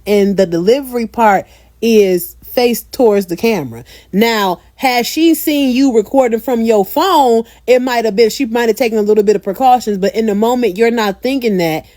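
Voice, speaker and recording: A woman's voice: fairly high pitch, normal speed, mellow, no echo, clean studio sound. A woman ranting in a social media clip, emphatic and slangy. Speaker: commentator in clip. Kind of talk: casual social media rant.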